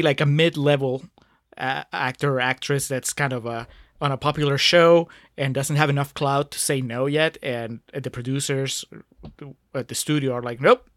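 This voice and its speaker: Man from peru, podcast co-host, higher voice, dry close sound, hesitant casual chat. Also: brisk, a bit bright, dry recording. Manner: hesitant, many fillers, chuckling.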